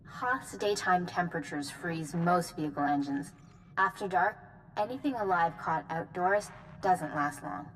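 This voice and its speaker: Low, grave female Jedi commander for a sci-fi game, formal and resolute, over clear background noise. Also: a little slow, fairly bright tone, poor audio. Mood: grave, composed. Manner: formal, composed, briefing. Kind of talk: scripted game dialogue.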